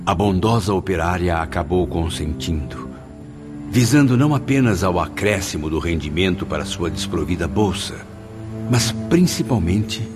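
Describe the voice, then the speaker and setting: An actor playing an earnest, sorrowful male spirit who solemnly tells his story in a radio soap, with a Brazilian accent. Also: sits low. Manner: solemn, formal. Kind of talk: dramatic scripted narration in radio soap.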